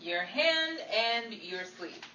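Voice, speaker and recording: Woman acting a bright, sardonic starlet, echoey radio. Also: medium pitch, big pitch swings, slow pace, dull audio, exaggerated voice. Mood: teasing. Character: sardonic, exasperated Hollywood starlet, young woman. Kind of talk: 1940s old-time radio comedy acting. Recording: echoey, distant mic with faint crowd and noise.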